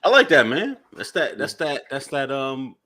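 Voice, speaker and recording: A man's voice: mid-range voice, very animated, normal speed, pushed and bright. A man co-hosting a casual talk show, loose and repetitive as he searches for words. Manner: loose, repeats phrases while searching for words. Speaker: co-host.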